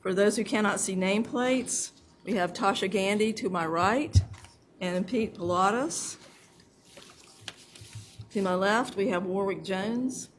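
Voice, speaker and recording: A woman's voice: mid-range voice, unhurried, pauses often. A woman chairing a county planning commission meeting, reading formal opening remarks into a desk microphone. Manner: casual and self-deprecating off-mic, then formal reading of the opening. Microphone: desk microphone that cuts out. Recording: noisy meeting room audio.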